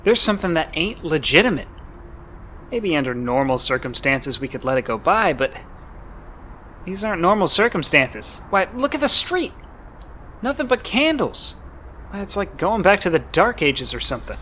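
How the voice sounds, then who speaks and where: A man's voice: a little high, very animated, normal speed, bright, forceful tone, a little hiss or hum. A man playing a belligerent, suspicious neighbor turned vigilante in a radio play, with folksy, accusing speech.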